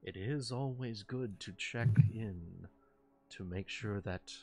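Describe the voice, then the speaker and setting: A man acts out an ancient ancestor spirit for a tabletop game, low in pitch and very slow. The spirit is solemn and gracious, choosing formal words with care, with music behind the voice. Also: gravelly, exaggerated voice. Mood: musing, solemn.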